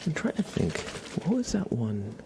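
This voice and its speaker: A man hosting an ASMR livestream, speaking softly and casually to viewers in a gentle, rambling, hesitant way. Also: wildly swooping pitch, moderate pace, noisy background. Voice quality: soft, breathy whispering with creaky low undertone. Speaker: livestream host.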